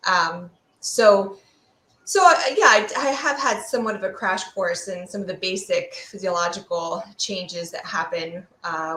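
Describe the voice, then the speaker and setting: A woman's voice: lowish voice, pushed and bright. A woman speaking as an expert guest in an interview, explaining science conversationally and thoughtfully, searching for her words. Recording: roomy, echoey room sound.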